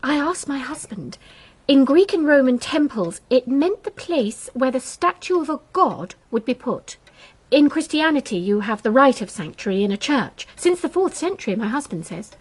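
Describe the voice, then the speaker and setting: Sweet earnest english vicar's wife in radio play, high breathy voice, bit hesitant. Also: female voice, very animated, normal speed, exaggerated voice. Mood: polite, helpful. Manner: polite, hesitant, well-meaning. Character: kindly, earnest, self-doubting young vicar's wife. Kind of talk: radio drama acting.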